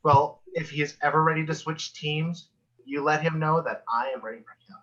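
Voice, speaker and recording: A sassy, suggestive male sitcom co-worker played by an actor, with a bright, fairly high voice and cheeky teasing delivery. The video call audio is a little dull. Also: normal speed, close to an everyday voice. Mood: teasing, flirty. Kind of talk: sitcom table read.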